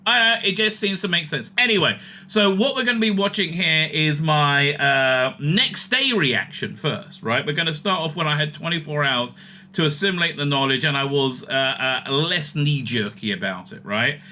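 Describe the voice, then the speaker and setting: A man's voice: a little high, animated, moderate pace, bright, forceful tone, a little hiss or hum. A man hosting his own online show, giving rambling, opinionated, jokey commentary, often digressing and repeating words and phrases. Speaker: YouTube show host; rabbi.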